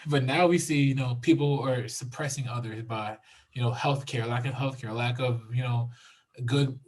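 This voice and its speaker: A man hosting a casual conversation, with a voice of ordinary middle pitch. He wanders through his points and sprinkles "you know" throughout as he thinks aloud. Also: normal speed. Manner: animated, discursive, lots of "you know". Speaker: host.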